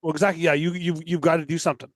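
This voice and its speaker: A man's voice: fairly high pitch, little pitch movement, very fast, dry recording. A man from Canada chatting casually as a podcast guest. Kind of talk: casual chat.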